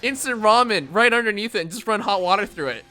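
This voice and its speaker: A young man streams in an animated, rambling way, quick to laugh as he talks. His voice is bright and sits a little high. Faint music plays behind him. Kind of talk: casual stream chat.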